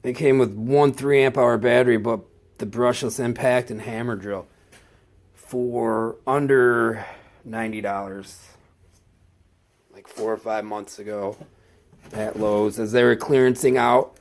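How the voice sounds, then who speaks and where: A man with a head cold talks through his work kit at an unhurried pace, often pausing mid-thought. His stuffed-up voice sits at an ordinary pitch, and he gets eager when a favorite piece of gear comes up. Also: steady tone. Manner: slow, halting, enthusiastic about gear. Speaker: maintenance tech showing his tools. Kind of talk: casual tool walkthrough.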